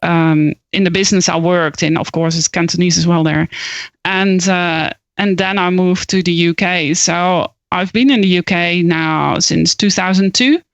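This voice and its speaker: A woman's voice: lowish voice, steady tone, measured pace, dry recording. A woman from the Netherlands with a Dutch accent, a guest on a podcast, speaking thoughtfully with frequent hesitations. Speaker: guest, copywriter and coach. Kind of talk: podcast interview.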